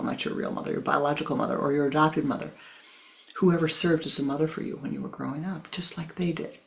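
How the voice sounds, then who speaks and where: A spiritual teacher speaking softly and tenderly, with a gravelly edge in the voice. Phrases are repeated for emphasis, and listeners are called "my love". Sometimes the voice drops almost to a whisper. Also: mid-range voice.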